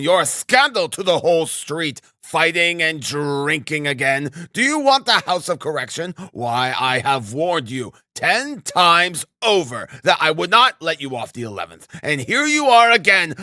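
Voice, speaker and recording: A man voicing an enraged, bullying police officer who shouts and blusters. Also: on the high side, big pitch swings, measured pace, no echo, clean studio sound, pitched above the performer's own voice, exaggerated voice. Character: furious bullying male police officer, middle-aged.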